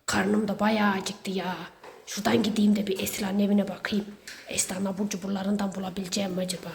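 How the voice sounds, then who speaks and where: A woman playing a sneaky, hungry neighbor villain in a children's pretend-play skit, muttering theatrically to themselves with drawn-out complaints. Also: medium pitch, little pitch movement, vocal fry, background noise, lightly acted. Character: sneaky, hungry Hello Neighbor villain, seeker and snack thief. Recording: loud music over the voice.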